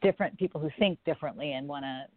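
A guest from Jamaica talks through interview answers, thoughtful and measured, with a mid-range pitch. The line is dry and tinny, like a phone call with no room sound. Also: no room sound. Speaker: filmmaker guest.

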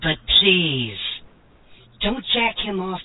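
A man voicing an ancient male sage who hands out mock-wise sayings slowly and solemnly, heard over a tinny phone-like line. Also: high voice, very animated, breathy edge, exaggerated voice. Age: older. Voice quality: slow, drawn-out solemn delivery.

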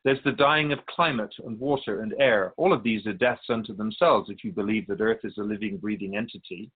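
Husky male spirit guide, played by an actor, muffled line. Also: lowish voice. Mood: earnest, probing. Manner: measured, philosophical, gently admonishing. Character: Keeper of Souls Purpose, wise, wry otherworldly spirit guide. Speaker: character answering an interviewer in a radio drama. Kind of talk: radio drama dialogue in interview form.

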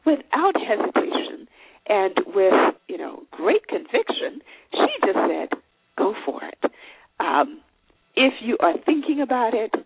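A woman's voice: a little high, sing-song, unhurried, breathy edge, no echo. Woman host, phone-like lo-fi sound. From Zimbabwe. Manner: earnest, conversational, with many fillers. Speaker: show host. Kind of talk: solo advice talk. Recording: phone-like line with faint noise.

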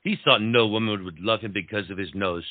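A man playing an earnest gentleman officer who speaks deliberately and declaims in a period stage drama. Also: lowish voice, no room sound, narrow, tinny audio, close to an everyday voice. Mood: earnest, pleading. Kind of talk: stage comedy acting.

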